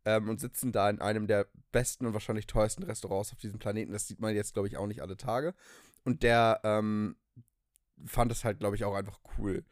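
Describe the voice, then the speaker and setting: A man's voice: fairly low pitch, fairly even intonation, brisk, no room sound, clean studio sound. A young man co-hosting a podcast, telling stories casually and enthusiastically with slangy English phrases mixed in. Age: young adult. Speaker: podcast co-host. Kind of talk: casual conversational storytelling.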